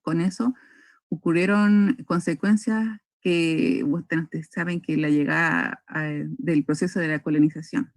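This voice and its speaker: Dry, measured young woman speaker. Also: low voice, fairly even intonation, no room sound. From northern Chile. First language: Aymara. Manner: measured, with frequent hesitations and restarts. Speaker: invited speaker at a cultural forum. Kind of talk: formal talk.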